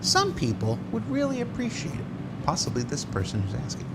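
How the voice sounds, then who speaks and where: Casting: wry male show host, highly varied pitch, informal delivery, recorded over noticeable vehicle ambience. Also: huge pitch swings, fairly quick. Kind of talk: casual question-and-answer hosting. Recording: clear vehicle and ambient noise behind.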